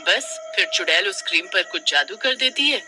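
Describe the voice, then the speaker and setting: A man's voice: sits high, lively intonation, normal speed. A man narrating a scripted cartoon horror story as a storyteller. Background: music.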